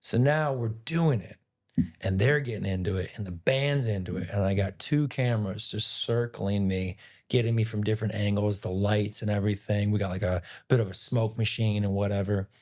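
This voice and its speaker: A man with a raspy voice, a guest actor telling a rambling, animated story in a casual interview. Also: lowish voice, normal speed. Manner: animated, rambling retelling with many "like"s.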